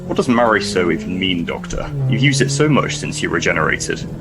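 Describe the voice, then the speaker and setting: Deep-voiced sceptical military man acted. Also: lively intonation, brisk, over loud music. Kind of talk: audio drama acting.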